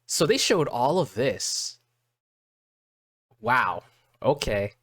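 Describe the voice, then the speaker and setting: A man doing casual live reaction commentary as a streamer, halting and repeating words as he thinks aloud. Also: mid-range voice, big pitch swings, fairly bright tone, husky, dry recording, clean studio sound. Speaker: streamer reacting to game videos.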